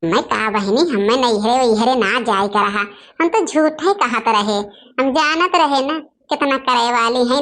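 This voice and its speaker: A woman voicing grumbling, gossipy village sisters-in-law in a cartoon, speaking with an Awadhi accent and a drawn-out delivery. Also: high-pitched, a bit bright. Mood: sly, gossipy. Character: scheming, complaining village women, sisters-in-law in family squabble. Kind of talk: cartoon dialogue in rural dialect.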